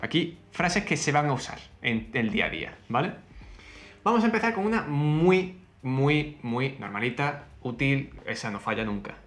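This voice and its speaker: A man hosting a casual chat in a relaxed, chatty way, with lots of drawn-out fillers. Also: medium pitch. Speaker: host.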